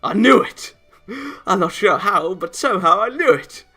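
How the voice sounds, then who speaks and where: A man voicing an excitable, bossy, impatient anime girl while reading a visual novel. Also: high voice, measured pace, breathy edge, faint music behind, voice pushed up, exaggerated voice. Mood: excited.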